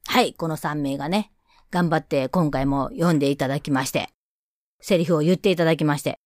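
A woman's voice: sits low, a little fast, no room sound, clean studio sound. A woman hosting a solo radio show, chatting casually in a playful, self-deprecating way. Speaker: radio show host and scriptwriter.

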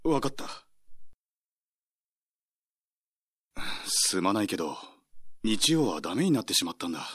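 An actor playing a weary, gentle married office manager in a radio drama, soft-spoken and hesitant. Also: male voice, low voice, big pitch swings, fast, breathy edge, full character voice. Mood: hesitant, apologetic. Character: weary married male department manager, gentle, apologetic, secretly in love. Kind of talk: Japanese radio drama dialogue and inner monologue.

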